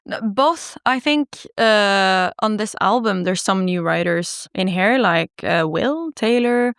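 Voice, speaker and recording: A singer-songwriter woman from Norway, chatting casually in an interview, laughing easily and filling pauses with ums and likes. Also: mid-range voice, lively intonation, a bit bright, smooth, dry recording, clean studio sound. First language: Norwegian.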